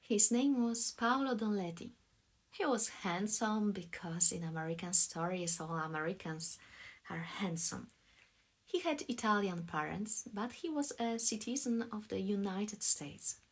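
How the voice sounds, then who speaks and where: A woman from Poland reading scripted audiobook narration as a voice actress, in a soft, deliberate storytelling manner. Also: medium pitch, lively intonation, husky.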